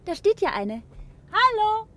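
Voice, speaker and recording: A woman playing a brisk, self-assured married lady in a television drama. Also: very high voice, sing-song, sharp tone, exaggerated voice. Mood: cool, brisk. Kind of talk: German television drama dialogue.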